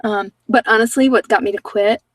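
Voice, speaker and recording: A woman's voice: medium pitch, fairly even intonation, fairly quick, no echo, far from the mic. A woman talking in a casual, conversational way as she hosts an informal tarot card reading. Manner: conversational, informal, anecdotal asides.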